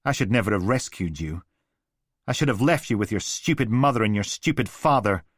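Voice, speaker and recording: A man's voice: fairly low pitch, animated, a little fast, no echo, clean studio sound. A man voicing a drunken, cruel, volatile father whose menace rises into bellowing rage.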